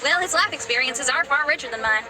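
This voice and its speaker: A woman's voice: high-pitched, animated, quick pace, over music. A woman voicing an upbeat young rock musician with a rebellious spirit, who laughs easily. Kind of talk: video game dialogue.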